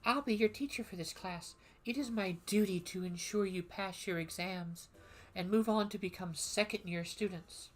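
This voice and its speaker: A woman voicing a formal woman teacher of magical history in a game, lecturing in a measured way. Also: mid-range voice, lightly acted.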